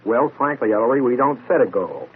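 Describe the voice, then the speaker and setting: A man's voice: mid-range voice, very animated, brisk, breathy edge. A man giving earnest, formal guest answers on a 1940s radio show. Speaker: guest, charity executive. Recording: tinny old radio broadcast.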